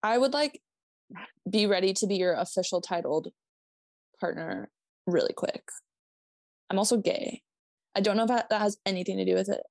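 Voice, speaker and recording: A woman's voice: mid-range voice, moderate pace, vocal fry, pauses often, darkish tone, no echo. A woman with a Minnesotan accent co-hosting a casual podcast, rambling, restarting herself, laughing and swearing as she talks. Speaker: podcast co-host. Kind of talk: casual chat.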